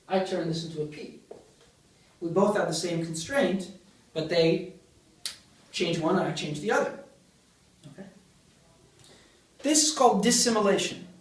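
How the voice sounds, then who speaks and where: A man giving a university linguistics lecture, explaining step by step and putting questions to the class. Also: sits high. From Greece. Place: large lecture room. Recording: echoey lecture hall from far off.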